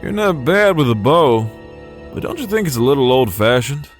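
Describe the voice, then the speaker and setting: A man's voice: medium pitch, sing-song, normal speed, natural voice. A man playing a laid-back, skeptical gunslinger from the Old West, folksy and wry, in a game fan dub. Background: music.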